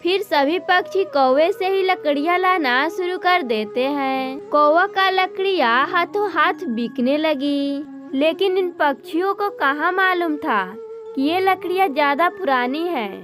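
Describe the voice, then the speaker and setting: High-pitched woman narrating a children's story. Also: unhurried. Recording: music behind the voice.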